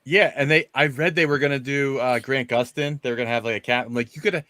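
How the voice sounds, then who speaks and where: A man's voice: mid-range voice, fairly even intonation, fast talker, sharp tone, no room sound, studio quality. A man talking casually and enthusiastically as a rambling panelist on a movie discussion show.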